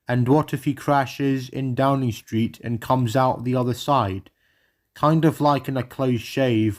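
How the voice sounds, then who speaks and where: Rambling British man narrating a fan cartoon. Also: mid-range voice. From Britain. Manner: rambling, reeling off facts. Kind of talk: casual narration and commentary.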